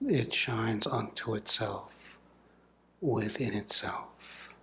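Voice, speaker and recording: A man leading a guided meditation as a spiritual teacher, speaking softly and deliberately in measured phrases with long pauses, often breathy and trailing toward a whisper. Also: lowish voice, a little hiss or hum. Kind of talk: guided meditation, contemplative satsang talk.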